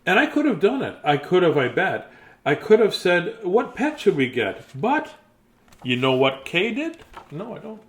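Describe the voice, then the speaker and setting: A man's voice: sits high, huge pitch swings, moderate pace. A man reading a children's bedtime story aloud expressively, with playful, theatrical delivery and chatty, casual asides.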